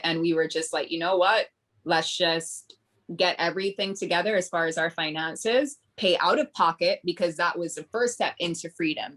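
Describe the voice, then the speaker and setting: Podcast guest: low-pitched woman with a Barbadian accent, earnest and reflective. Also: a bit bright. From Grenada. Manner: flowing, earnest, reflective with many ums. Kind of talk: casual interview talk.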